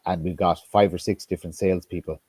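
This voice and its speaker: Man, very low and husky, dry close sound, stop-start blunt talker. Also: fairly even intonation, a little mellow, no room sound. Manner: halting, many restarts and ums, blunt with swearing. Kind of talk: casual political discussion.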